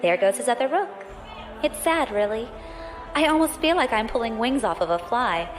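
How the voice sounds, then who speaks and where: A woman's voice: fairly high pitch, animated, soft background music, lightly acted. A woman playing a brash, sarcastic space pirate. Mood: cocky. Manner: quick, sarcastic, observant. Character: brash, sharp-eyed young female space pirate. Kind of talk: audio drama acting.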